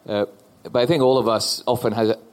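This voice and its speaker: A man in his forties from Norway, a panel guest telling a personal story in a thoughtful, halting way on stage before an audience. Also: medium pitch, steady tone, clear, resonant tone. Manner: thoughtful, halting with repeated restarts.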